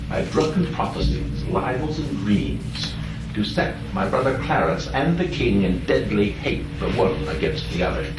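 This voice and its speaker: A man's voice: mid-range voice, voice pushed up. Man performing a echoey, theatrical Shakespearean scheming villain. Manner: theatrical, declamatory, slow drawn-out emphasis. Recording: distant, echoey live hall recording.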